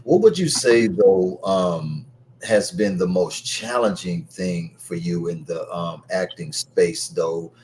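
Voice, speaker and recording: A man's voice: low-pitched, takes it slowly, darkish tone, slight background noise, rough recording. A man hosting a radio interview, laid-back and casual, using slang and many fillers like "you know what I mean" as he asks his questions. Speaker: radio show host.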